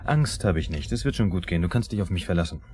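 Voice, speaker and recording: An actor playing a brooding, moralistic, jealous husband in his thirties in a German radio drama, with a husky voice and an earnest, deliberate manner. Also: deep voice, expressive, darkish tone, slight background noise. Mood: reproachful.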